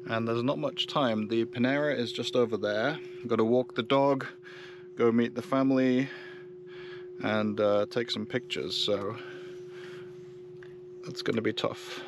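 A man's voice: medium pitch, fairly even intonation, measured pace, long pauses, background noise. A man giving casual running commentary on an electric car road trip, thinking aloud with fillers, recorded by camera in and around his car at a parking lot.

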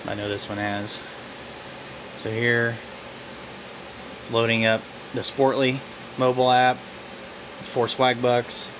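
A man giving a casual, unscripted walkthrough of his home office and phones, relaxed and halting, with plenty of fillers. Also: medium pitch, noisy background. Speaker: vlogger showing his phone farm. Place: cluttered home office full of phones and computers. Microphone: handheld camera mic, filming while walking around.

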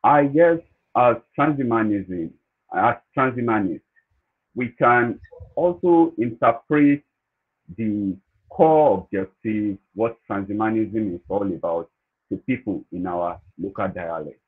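Low Nigerian man on tinny call audio. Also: breathy edge. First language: Yoruba. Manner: slow, hesitant, plain-spoken. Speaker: panelist. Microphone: video call. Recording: phone-like video call audio.

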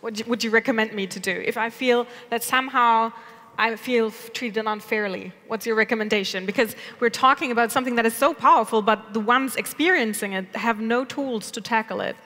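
A woman's voice: on the high side, fairly quick, sharp tone. Thirties woman moderator, conversational, on a big conference stage with hall echo. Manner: conversational, asks open questions. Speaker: panel moderator. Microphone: headset mic. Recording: reverberant hall.

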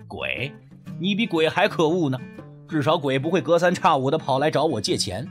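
A man's voice: fairly high pitch, big pitch swings, moderate pace, faint music behind. A man playing an inquisitive, irritable, skeptical friend in an audio story, asking blunt, probing questions. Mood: exasperated.

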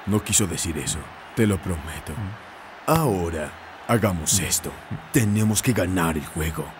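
An actor playing a calm, supportive male soccer captain in a cartoon dub, reassuring and brotherly. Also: sits low, moderate pace, background noise. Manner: laid-back, reassuring, brotherly.

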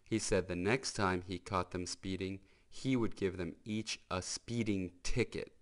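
Slow, expressive man reading a storybook. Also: deep voice. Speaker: storybook reader. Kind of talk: children's storybook narration.

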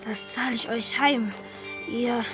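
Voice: mid-range voice, fairly even intonation, a little slow, over music, natural voice. A young performer playing a sulky, spiteful younger brother who grumbles, in an audio drama.

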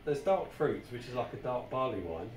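A man's voice: medium pitch, big pitch swings, moderate pace. A man with a British accent hosting a casual, jokey beer review and often repeating himself. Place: small home bar room. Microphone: camera a short distance away. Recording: roomy, distant mic with background noise.

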